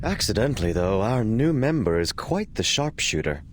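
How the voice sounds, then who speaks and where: A man's voice: on the low side, big pitch swings, moderate pace, music in the background. A man playing a smug, mocking villain in a video game, theatrical and taunting.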